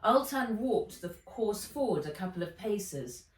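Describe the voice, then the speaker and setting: A woman author reading a children's storybook aloud in a measured, expressive way. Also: mid-range voice. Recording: echoey room, distant from mic.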